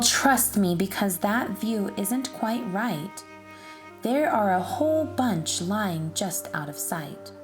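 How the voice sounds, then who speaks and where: A woman narrating a rhyming children's story in an expressive, deliberate way, speaking directly to young listeners. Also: medium pitch.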